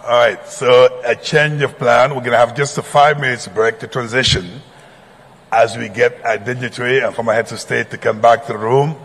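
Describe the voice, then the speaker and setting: A man's voice: mid-range voice, fairly even intonation, normal speed, fairly bright tone, husky. A man from Senegal hosts a conference session from the stage, formal and polite. He keeps things moving when plans change. His mid-range voice rings out with a long reverb from the big hall. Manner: formal, courteous, improvising through delays. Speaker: moderator.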